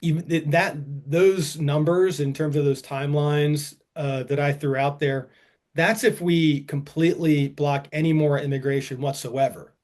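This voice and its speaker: A man co-hosting a podcast, talking politics in a halting way, often restarting his sentences. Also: a little high, steady tone, darkish tone. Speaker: podcast co-host. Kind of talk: casual political discussion.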